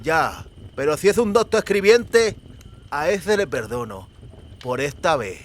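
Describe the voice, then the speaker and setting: A man acts out the eldest of a rough country gang in an audiobook. The voice is fairly high, with a lisp. He talks slowly and sardonically, in old-fashioned rustic words, with menace underneath. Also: sing-song. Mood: menacing. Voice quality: lisping. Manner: slow, archaic rustic speech, sardonic.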